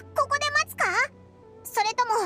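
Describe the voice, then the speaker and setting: A woman's voice: very high-pitched, normal speed, sharp tone, soft background music. A woman voicing a small, cheeky, childlike sprite in a Japanese game, with blunt boyish speech. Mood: puzzled.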